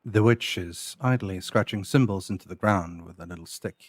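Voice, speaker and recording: Very deep-voiced male storyteller. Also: moderate pace, no echo, studio quality. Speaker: narrator. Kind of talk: scripted audio drama storytelling narration. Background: music.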